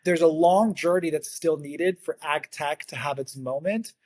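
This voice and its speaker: A man, a native English speaker, giving earnest explanatory interview answers as a guest, sometimes halting and repeating words. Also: fairly high pitch, no room sound, studio quality. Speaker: guest, agritech consultancy founder.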